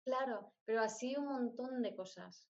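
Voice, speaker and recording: A woman's voice: fairly high pitch, moderate pace, darkish tone. A middle-aged woman telling personal stories as an interview guest, in short phrases that she often repeats for emphasis. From born in Spain, grew up moving between countries. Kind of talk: personal storytelling in conversation. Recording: dull, lo-fi audio.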